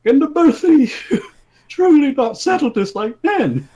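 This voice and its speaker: A man's voice: extremely high pitch, sing-song, slow, highs cut off, crowd noise, pitched above the performer's own voice, exaggerated voice. A man voicing a hapless, exasperated galactic king in a comic story, with theatrical, pleading delivery.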